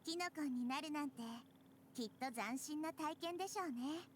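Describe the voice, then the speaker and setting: A woman voicing a gentle, youthful girl deity in a Japanese game dub. She speaks in a soft, polite, composed way. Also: high-pitched, racing, a little hiss or hum, lightly acted.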